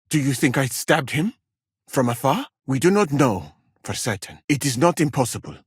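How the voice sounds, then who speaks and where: Husky, courteous scholar-physician. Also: male voice, medium pitch, no room sound, studio quality. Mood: firm, defensive. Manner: formal, measured, uncontracted speech with wry politeness. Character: courteous, proud, learned Malian scholar and physician, a man. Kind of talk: video game character dialogue.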